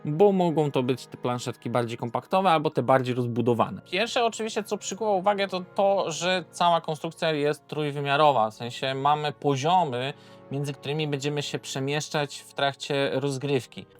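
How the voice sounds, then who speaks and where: A man's voice: on the high side, normal speed, faint music behind. A Polish man presenting an informal, unscripted board game video review, hesitating and often correcting himself as he goes.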